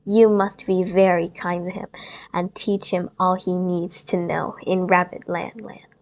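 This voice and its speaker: A woman voicing a gentle nursery fairy who speaks softly and kindly, with the last words of her phrases echoed. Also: lowish voice, level tone, unhurried. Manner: soft and kind, with echoed repeated words. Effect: echo on repeated word endings.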